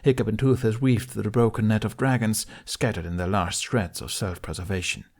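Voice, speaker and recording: A man with a fairly low voice narrates an adventure audiobook. The delivery is scripted and dramatic, in the manner of a storyteller. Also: steady tone, fairly quick, darkish tone.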